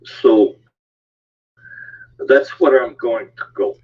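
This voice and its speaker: A man co-hosting a casual live stream, joking deadpan and stammering through restarts. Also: medium pitch, slow pace, husky. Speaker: co-host. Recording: tinny phone-like line.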